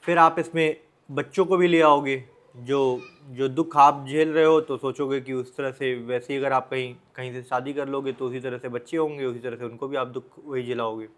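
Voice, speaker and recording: A man's voice: medium pitch, fairly even intonation, normal speed. An Indian man giving informal motivational advice straight to the listener, bluntly, with rhetorical questions and repeated phrases. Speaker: advice vlogger.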